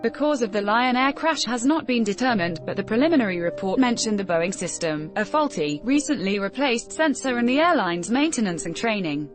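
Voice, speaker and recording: A woman's voice: a little high, lively intonation, fairly quick, hardly any pauses. A woman reading a scripted news report aloud as narrator. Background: background music.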